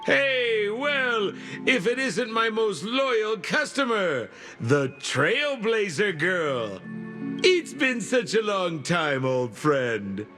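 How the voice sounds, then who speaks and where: An actor voicing a jovial, showy vendor in a video game who greets people with drawn-out familiarity. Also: male voice, high voice, big pitch swings, pushed and bright, faint music behind, exaggerated voice. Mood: jovial, sly. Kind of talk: scripted video game dialogue.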